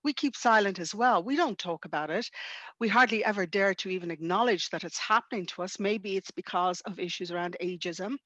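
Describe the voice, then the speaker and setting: A woman's voice: mid-range voice, brisk, fairly bright tone, no echo. Sex: woman. Role: webinar host. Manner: emphatic, flowing sentences. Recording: dry, muffled video call audio. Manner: fluent, emphatic, speaks in long building sentences. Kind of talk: hosting a panel discussion.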